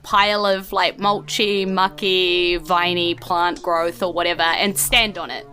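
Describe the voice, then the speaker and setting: A woman's voice: medium pitch, sharp tone. A woman with a New Zealand accent, casually narrating and refereeing a tabletop roleplaying game as game master.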